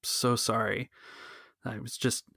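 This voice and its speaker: A man playing a big, kindly alien bartender in a tabletop game, halting, concerned and reassuring. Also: medium pitch, fairly even intonation, creaky, bright, forceful tone, no room sound, full character voice. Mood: consoling. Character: tall, burly, kindly male alien bartender, consoling.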